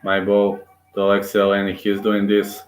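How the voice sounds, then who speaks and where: Brief: male fan commentator, lowish voice, excitable play-by-play, roomy echo. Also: moderate pace. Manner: excitable, rooting aloud, frequent fillers. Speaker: amateur fight commentator. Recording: echoey room.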